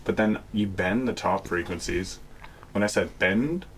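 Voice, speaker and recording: A man's voice: low-pitched, measured pace, a little hiss or hum, low-quality recording. A young man hosting a podcast, giving rambling, casual explanations and often searching for words. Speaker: podcast host. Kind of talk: casual chat.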